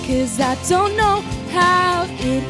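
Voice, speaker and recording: A woman singing a pop ballad, holding long drawn-out phrases. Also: extremely high pitch, expressive, loud music behind the voice. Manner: sustained, drawn-out sung phrases. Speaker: singer. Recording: loud instrumental backing.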